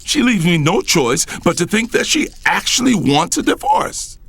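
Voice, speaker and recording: A man's voice: high-pitched, sing-song, moderate pace, a bit bright, slightly rough, no echo, heavily acted. A man playing a vindictive, controlling businessman and boss, narrating an audio drama in first person with a stern manner. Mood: vindictive, brooding.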